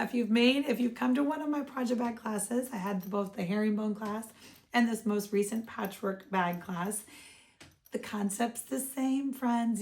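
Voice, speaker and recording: Woman vlog host, hoarse and froggy, warm mellow tone, chatty show-and-tell. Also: medium pitch. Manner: chatty, upbeat, calls viewers friends. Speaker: craft shop owner and vlog host.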